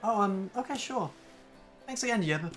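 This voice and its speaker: A polite, eager young woman who is new to an island in a video game, read by a streamer with a Danish accent. She speaks in a middle-pitched, everyday tone. Faint game music plays behind her. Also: animated, moderate pace, a bit bright, lightly acted.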